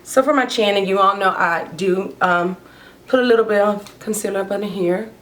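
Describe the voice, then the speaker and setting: A woman with a hoarse, worn voice chats casually while applying her makeup. She rambles from one thought to the next at an easy pace. Her pitch sits in the middle range. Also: moderate pace, a bit bright, a little hiss or hum. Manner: chatty, rambling, talks while doing makeup. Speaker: beauty vlogger. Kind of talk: casual get-ready-with-me chat.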